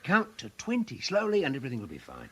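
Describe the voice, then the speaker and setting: A man playing the calm, reassuring leader of a prison gang in a comic radio play, coaxing and organising. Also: medium pitch, fast, breathy edge. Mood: soothing.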